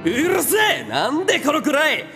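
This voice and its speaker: An actor in a radio drama playing a coarse, hot-tempered older brother who is fiercely protective. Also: male voice, very high-pitched, huge pitch swings, moderate pace, over music, heavily acted. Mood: shouting rage. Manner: coarse, blunt rough speech. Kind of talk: Japanese radio drama acting.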